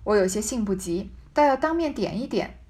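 A woman reading the part of a teasing, sharp-tongued married noblewoman in a storybook, with pointed, mock-scolding banter. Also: medium pitch, animated, brisk, natural voice.

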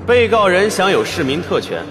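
An actor playing a rigid, accusing prosecutor, arguing formally in a radio drama. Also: male voice, sits high, sing-song, normal speed. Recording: long hall reverb over music.

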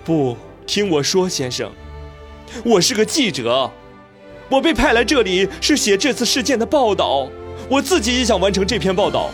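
A man's voice: sits high, sing-song, moderate pace, soft background music. An actor playing a skeptical, stubborn male journalist in a radio drama, speaking in an emotional, protesting way. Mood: shaken, defensive.

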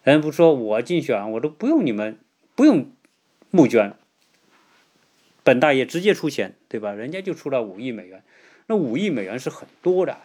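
A man's voice: medium pitch, sing-song, moderate pace, long pauses, darkish tone, breathy edge. A man hosting a podcast, delivering casual political commentary in a conversational way, with rhetorical questions, check-in tags and emphatic stresses. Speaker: podcast host.

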